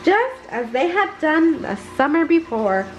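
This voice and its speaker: A woman reading a children's storybook aloud in an expressive storytelling manner. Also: sits high, unhurried, highs cut off, slight background noise. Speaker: story reader. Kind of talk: bedtime storybook read-aloud.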